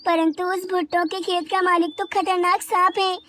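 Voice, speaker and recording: A woman playing a polite, worried female insect in a children's animated story. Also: extremely high pitch, moderate pace, a little hiss or hum. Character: worried young female insect, polite wife seeking help.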